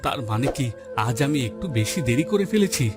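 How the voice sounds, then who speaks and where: A male actor voices a humble fisherman and family man in a moral-story cartoon. His voice sits at a middle pitch and he speaks in a plain, reassuring, homely manner. Music plays prominently behind him. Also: big pitch swings, a little fast, close to an everyday voice. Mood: musing, worried. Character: humble, hardworking poor village fisherman, a husband and father. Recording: loud music bed behind the voice.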